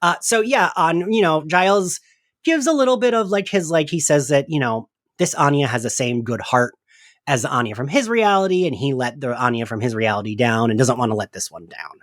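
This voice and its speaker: A man's voice: medium pitch, expressive, a little fast, fairly bright tone, no echo, studio quality. A man hosting a podcast in a casual recap discussion, chatty and hedging, often saying "like". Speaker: podcast host.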